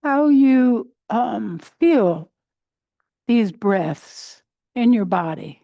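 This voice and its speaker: A woman teaching a guided meditation in a gentle, unhurried way, pausing between short phrases. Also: fairly high pitch, expressive, gravelly, no room sound, highs cut off. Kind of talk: guided meditation, body scan.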